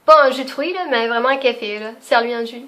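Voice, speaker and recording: Chatty young woman, high voice. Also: fast, pushed and bright. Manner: chatty and spontaneous, laughs often, many fillers. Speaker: vlogger. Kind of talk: casual unscripted video chat.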